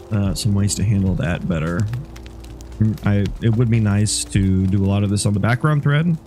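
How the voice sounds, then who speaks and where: A sniffly man, a game developer, talking casually through his code and rambling as he thinks aloud. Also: lowish voice. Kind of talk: casual dev log.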